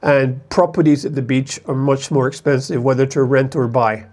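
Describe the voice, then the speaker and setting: A man's voice: mid-range voice, fairly even intonation, normal speed, a little mellow. A man from the United States talking casually to camera as an expat vlogger, in a rambling, conversational way that often corrects itself.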